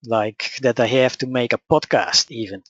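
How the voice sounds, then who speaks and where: A man with a Dutch accent, whose first language is Dutch, calmly telling his personal story and teaching in a measured, matter-of-fact way. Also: mid-range voice, husky, no echo, highs cut off. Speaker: spiritual teacher. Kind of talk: personal account and teaching talk.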